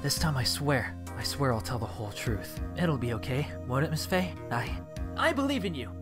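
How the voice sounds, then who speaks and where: A woman's voice: extremely low pitch, very animated, normal speed. Woman voicing an emotional, stammering naive young man. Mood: frightened, pleading. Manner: stammering, pleading, melodramatic. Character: naive, emotional young male college student defendant. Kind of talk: dramatic fan dub voice acting. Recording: loud music behind voice. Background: loud music.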